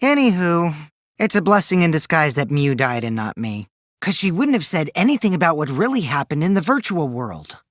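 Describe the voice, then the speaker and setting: A man's voice: a little high, sing-song, moderate pace, dry recording, clean studio sound. A man voicing a mischievous, taunting teenage boy in an English video game, with childish, singsong mockery and theatrical delivery. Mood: sly, cool. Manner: childish, singsong mockery, theatrical swings from gleeful to coolly sly.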